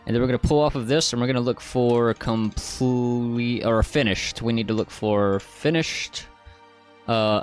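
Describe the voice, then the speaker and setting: Casual man with a fairly high-pitched voice. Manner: informal, step-by-step explaining. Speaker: tutorial host. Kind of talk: casual software tutorial narration.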